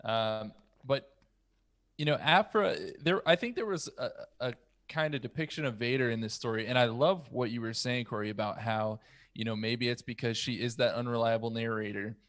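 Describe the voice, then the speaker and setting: Guy hosting a chat show, normal pitch, kinda halting and thoughtful, no room sound. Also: fairly bright tone, dry recording. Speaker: podcast host. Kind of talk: casual discussion moderation. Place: home room on a group video call. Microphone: close podcast mic on a boom arm.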